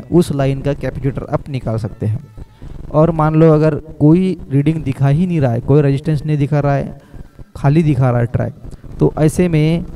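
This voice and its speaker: Man with a very dark voice. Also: medium pitch, slight background noise, rough recording. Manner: explains step by step, often says "friends" to viewers. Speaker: mobile repair technician. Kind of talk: phone repair tutorial.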